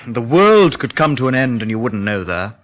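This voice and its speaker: A genial lodger and painter in a radio drama. His pitch is extremely animated. He chats expressively, with unease under the good humour. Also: moderate pace. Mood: genial, uneasy. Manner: conversational, expressive storytelling. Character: genial, uneasy painter lodging at a remote farm.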